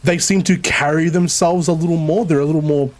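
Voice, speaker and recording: A man with an Australian Melbourne accent chatting casually while streaming a co-op game, swearing freely and rambling quickly. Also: on the high side, steady tone, a little mellow. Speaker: streamer playing co-op. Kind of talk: casual gaming chat.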